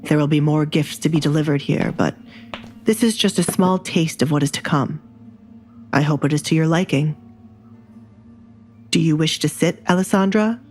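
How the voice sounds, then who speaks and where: A confident young duke, acted by a woman narrator speaks with a low, commanding voice. The delivery is measured and imperious, audio drama style, with music behind it. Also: a little mellow, lightly acted. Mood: smooth, confident.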